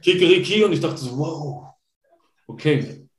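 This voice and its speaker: A man's voice: on the high side, expressive, a little slow. A man in his thirties from Germany, telling stories as an interview guest in a rambling, chatty way with many fillers. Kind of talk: casual interview chat. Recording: roomy echo.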